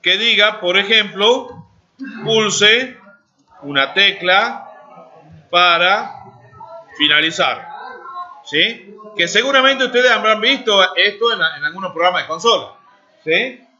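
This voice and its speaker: A man's voice: high voice, lively intonation, slow pace, long pauses, sharp tone. A man, teaching a programming class live, talking while he types and checking in with students. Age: 40s. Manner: talks while typing, asks students checking questions. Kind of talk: live classroom coding lesson. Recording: dull lo-fi classroom recording.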